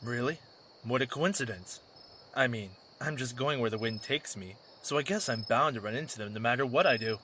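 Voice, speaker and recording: A man's voice: medium pitch, brisk, sharp tone, a little hiss or hum, close to an everyday voice. A man playing a cocky wolf drifter, a former warrior, in an audio drama, with a sarcastic, casual delivery. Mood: wry.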